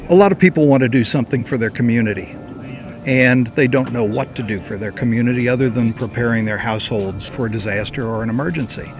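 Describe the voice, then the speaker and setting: A man's voice: mid-range voice, quick pace. A man speaking as a volunteer radio group member, explaining things in an interview style. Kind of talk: interview explanation for a community profile.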